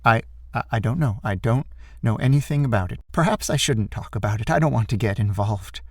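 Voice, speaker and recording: A man's voice: medium pitch, lively intonation, brisk, a little mellow, breathy edge, dry recording, clean studio sound. A man acting as a timid, anxious temple man in an audiobook drama, with a hesitant, formal way of speaking. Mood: nervous, evasive. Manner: hesitant, stammering, formal wording.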